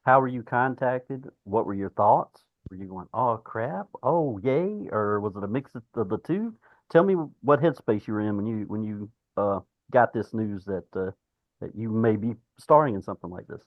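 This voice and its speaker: A man hosting a podcast who asks rambling, relaxed questions and laughs along with his guests. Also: on the low side, normal speed, no room sound, phone-quality sound. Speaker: podcast host. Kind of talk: casual interview questions.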